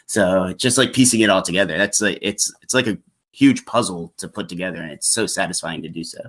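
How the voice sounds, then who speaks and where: Male podcaster with a fairly low voice, informal and enthusiastic, talking games with a peer. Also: brisk, fairly bright tone. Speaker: podcast host. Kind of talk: casual podcast chat about video games.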